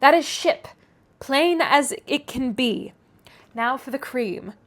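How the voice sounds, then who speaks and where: A woman acts out a clever young lady of a classic novel, with a notably high voice. She is smug and self-assured, speaking precisely, with a knowing, condescending manner. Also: animated, slow pace, heavily acted. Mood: brisk, knowing. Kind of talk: classic novel read aloud.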